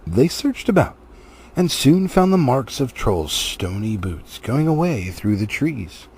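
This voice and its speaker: A man's voice: medium pitch, big pitch swings, a little slow, darkish tone, slightly rough. A man narrating a storybook expressively as an audiobook reader.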